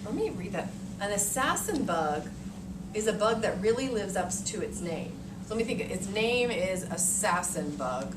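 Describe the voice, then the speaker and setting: An American woman in her thirties, an elementary teacher reading aloud to young children in a deliberate, expressive way, thinking aloud in simple words. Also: mid-range voice. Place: elementary classroom, indoors. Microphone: far camera. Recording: noisy echoey classroom, distant camera mic.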